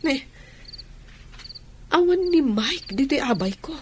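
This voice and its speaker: A woman's voice: high-pitched, lively intonation, takes it slowly, a little mellow, a little hiss or hum. A woman with an Ilocano accent acting as a middle-aged provincial housewife and attentive wife in a radio drama. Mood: questioning, uneasy.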